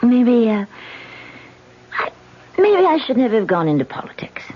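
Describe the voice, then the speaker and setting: A guarded wife with a secret. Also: female voice, fairly high pitch, very animated, normal speed, husky. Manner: hesitant, guarded. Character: politician wife hiding a secret. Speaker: political candidate. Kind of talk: 1970s radio drama acting. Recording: dull old radio recording with music.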